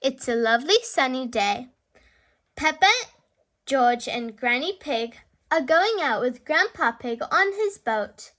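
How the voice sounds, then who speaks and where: A woman narrating a picture book for young children as a storyteller, in an animated, deliberate sing-song way. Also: high-pitched. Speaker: children's read-aloud storyteller, channel host.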